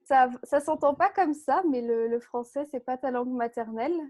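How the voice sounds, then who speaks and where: A woman's voice: high-pitched, moderate pace, a little mellow. A woman hosting an interview, chatting in a friendly way with hesitations and fillers. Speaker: podcast host, interviewer. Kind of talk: casual interview.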